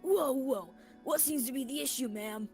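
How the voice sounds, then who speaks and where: Woman acting older small-town sheriff, exasperated, blunt, high-pitched, very animated, radio drama, music underneath. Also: moderate pace. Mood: concerned. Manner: blunt, exclamatory.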